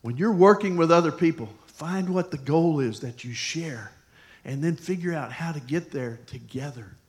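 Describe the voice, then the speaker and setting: A man's voice: fairly high pitch, normal speed. Guy pastor preaching, tells stories, talks to the crowd like a friend. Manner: conversational storytelling, lively asides. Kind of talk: sermon with personal story.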